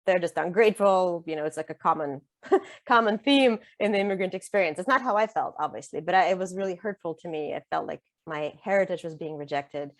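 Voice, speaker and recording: Woman, middle-aged, Russian native speaker; conversational author guest, lower register, dry close mic. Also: brisk, no room sound. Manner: chatty, many fillers and restarts, laughs easily. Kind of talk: conversational interview.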